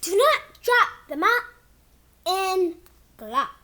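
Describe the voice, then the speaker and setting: A child hosting a read-aloud of a picture book, reading haltingly like a beginner and drawing words out. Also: very high voice, very animated, bright, forceful tone.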